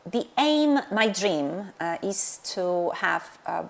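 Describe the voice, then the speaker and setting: This is a middle-aged woman with a Polish accent and a low voice that has a gravelly edge. She talks about her work as a dentist in an interview, pausing often with fillers and restarting her sentences. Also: expressive. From Poland. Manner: hesitant, many fillers and restarts. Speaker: dentist and practice owner. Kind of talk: interview answers.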